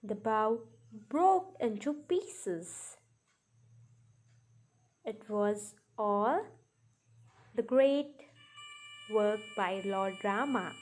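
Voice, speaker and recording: Slow, high-voiced woman telling stories. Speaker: storyteller narrating a puppet show. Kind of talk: scripted children's storytelling narration.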